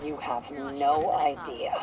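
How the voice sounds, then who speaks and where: A woman's voice: extremely low pitch, fairly even intonation, slow. An actress playing a driven, frustrated police captain confiding tersely in a video game, with slight noise behind her. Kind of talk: video game voice acting, dramatic dialogue.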